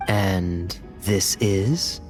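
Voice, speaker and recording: A man playing a smooth-talking, wry defense advocate in a fantasy game trial. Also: low voice, extremely slow, a little mellow, faint music behind. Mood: wry, skeptical. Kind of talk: scripted fantasy game cutscene acting.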